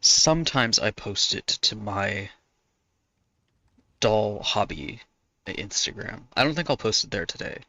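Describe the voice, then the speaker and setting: A man chatting casually while he draws on a stream, his voice hoarse from talking a lot. He rambles, corrects himself and laughs often. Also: fairly low pitch, expressive, a little slow, long pauses, bright, forceful tone, dry recording. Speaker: artist streaming while drawing. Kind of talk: casual chat answering viewers.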